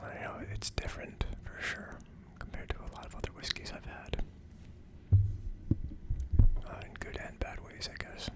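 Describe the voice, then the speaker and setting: An ASMR video host whispering casually to viewers in a soft, unhurried manner.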